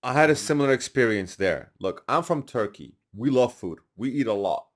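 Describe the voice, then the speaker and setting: A man's voice: medium pitch, lively intonation, moderate pace. A man who grew up in the Netherlands, hosting a podcast and telling stories in a casual, chatty way. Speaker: podcast host.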